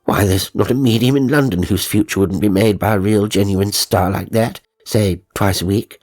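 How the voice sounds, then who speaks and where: A man's voice: on the low side, normal speed, a little mellow, no echo, studio quality, lightly acted. A man voicing a wheedling, fraudulent female spirit medium, gushing and coaxing, in a ghost story. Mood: indignant. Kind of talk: dramatised audiobook ghost story.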